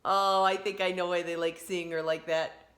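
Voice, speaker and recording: A woman with a bright, forward voice reads a picture book in the manner of an elementary teacher. She speaks simply and encouragingly to young children, adding explanations as she goes. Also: on the low side, little pitch movement, normal speed. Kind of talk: children's read-aloud with explanatory asides.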